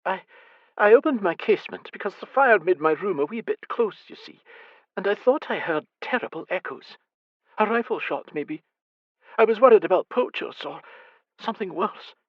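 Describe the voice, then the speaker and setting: A man voicing a timid, fretful elderly blind noblewoman who stammers softly in an audiobook. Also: medium pitch, animated, moderate pace, dry recording, dull audio, voice pushed up, close to an everyday voice. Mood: worried. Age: older. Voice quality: stammering, soft and hesitant.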